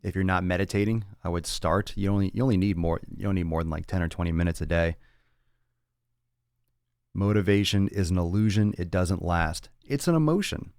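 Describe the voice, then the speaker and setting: Deep-voiced blunt fitness podcast host. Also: male voice, fairly quick, darkish tone, no room sound, clean studio sound. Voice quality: raspy on some days. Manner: blunt and profane, rambling with restarts. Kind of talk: casual solo talk answering listener chat.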